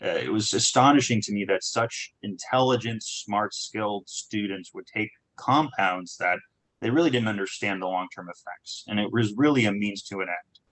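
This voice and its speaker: A man from Southern California, a startup founder telling his background in a relaxed talk, with many restarts and fillers. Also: fairly low pitch, normal speed, rough recording. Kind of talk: conversational talk on a group call.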